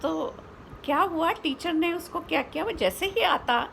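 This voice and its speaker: An Indian-accented woman with a high voice tells a story for children in an informal, spoken way. She explains as she goes, posing little questions and dropping in English words. A faint noise sits behind her voice. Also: animated, unhurried, gravelly, a bit bright.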